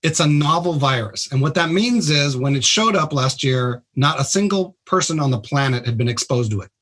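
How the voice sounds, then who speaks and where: A higher-pitched man with a husky edge to his voice talks bluntly as a radio guest. He explains with punchy, emphatic sentences in a casual way. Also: expressive, moderate pace, a bit bright. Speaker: trauma surgeon guest.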